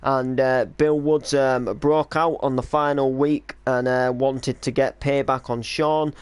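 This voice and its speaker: A man's voice: medium pitch, level tone, measured pace, clear, resonant tone, no room sound. A man reminiscing casually on a stream as the creator of an online drama, explaining storylines in an informal, rambling way.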